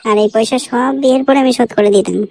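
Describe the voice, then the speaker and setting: A woman's voice: fairly high pitch, rapid-fire, a little mellow. A woman playing a coy young girlfriend in a comic cartoon, coaxing in a sugary, plaintive way. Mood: wheedling.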